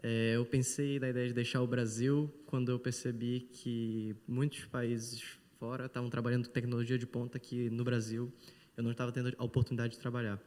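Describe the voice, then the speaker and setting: A man in his twenties with a Brazilian Portuguese accent speaks fluently and earnestly at a conference. He talks at a brisk clip. A long hall reverb trails his voice. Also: mid-range voice, a little mellow. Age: 20s. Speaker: panel speaker. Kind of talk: conference testimonial.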